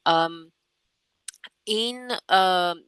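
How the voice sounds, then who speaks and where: A young woman from Romania with a low voice and a crisp, clear tone. She answers questions thoughtfully, with measured phrasing and frequent pauses to find her words. The sound is dry and close. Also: a bit bright, no echo, low-quality recording. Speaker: guest. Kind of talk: reflective interview answers.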